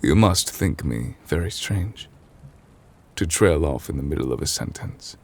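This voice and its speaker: A man playing an ancient, weary chief judge in an intimate ASMR roleplay, formal and gentle, speaking in measured, hesitant phrases. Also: animated, full character voice. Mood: apologetic, hesitant. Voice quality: husky, breathy low voice. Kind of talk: intimate ASMR audio roleplay, slow dramatic monologue.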